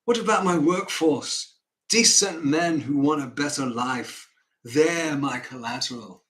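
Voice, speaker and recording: A man's voice: a little high, measured pace, a bit bright. A man playing an honest northern English factory man in a musical, making a heartfelt plea. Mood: earnest, hopeful. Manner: heartfelt, pleading.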